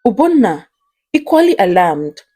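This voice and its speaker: A woman narrating a scripted story in a deliberate, dramatic storytelling style. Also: medium pitch, sing-song, dark tone.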